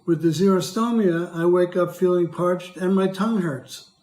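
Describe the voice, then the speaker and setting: Hoarse older man, echoey room. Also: high-pitched, moderate pace, darkish tone. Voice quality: hoarse, dry voice. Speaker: patient speaker. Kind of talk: prepared testimony read aloud.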